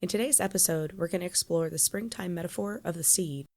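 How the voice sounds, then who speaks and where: A woman's voice: fairly low pitch, fast talker, darkish tone. A woman hosting a solo podcast, talking through a stuffy head cold and explaining with small laughs. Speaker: podcast host.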